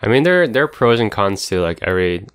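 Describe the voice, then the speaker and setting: A young man in casual chat, calm and measured, reasoning things through carefully. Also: fairly low pitch, clear, resonant tone, no echo, clean studio sound. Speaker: podcast co-host.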